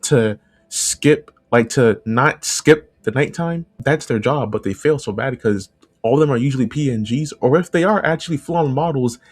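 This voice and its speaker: A man's voice: medium pitch, slightly rough. A man giving informal, opinionated video commentary in a conversational way, repeating phrases for emphasis. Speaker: video essay host and analog horror creator. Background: background music.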